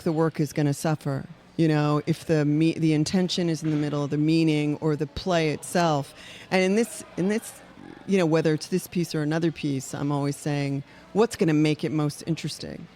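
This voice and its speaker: A woman playwright chatting on a podcast in an animated, fast-paced way, with laughing asides. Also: low-pitched, slight background noise. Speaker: playwright guest. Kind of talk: casual chat.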